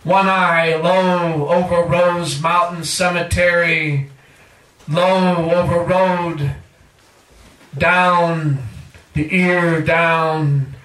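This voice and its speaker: A poet with a high, forceful voice reads his work at a very slow pace. The man leans into drawn-out, repeated phrases like an incantation. He is recorded in an echoey room, some way from the microphone. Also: far from the mic. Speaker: featured poet at a reading. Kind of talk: live poetry recitation. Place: indoor cafe poetry reading.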